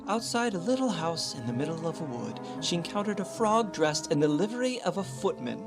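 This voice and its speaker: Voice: medium pitch, lively intonation, fairly quick, lightly acted. A narrator reading scripted storybook narration for a radio play. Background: music.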